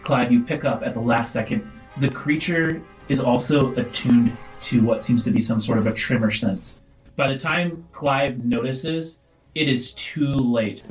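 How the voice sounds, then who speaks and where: A man narrating a tabletop role-playing game as game master, describing scenes in a halting, casual way. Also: mid-range voice, low-quality recording. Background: background music.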